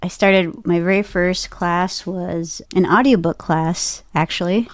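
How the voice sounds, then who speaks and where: A woman's voice: on the low side, a little slow, no echo, studio quality. A woman giving a casual interview as a guest, telling personal stories and often quoting her own thoughts as she goes. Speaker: voice actor and podcaster, interview guest.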